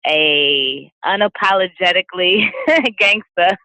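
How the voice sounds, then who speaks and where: Bright-voiced lively woman host. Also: on the low side, slow, no echo. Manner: lively, laughs often, slangy. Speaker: host of a virtual summit. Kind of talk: interview hosting. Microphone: video call.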